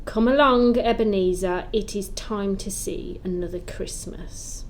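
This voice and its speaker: Woman as ghostly guide, calm, probing, solemn, slow delivery, audio play. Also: medium pitch, close to an everyday voice. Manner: measured, questioning, solemn. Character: calm, probing Christmas spirit guiding an old miser.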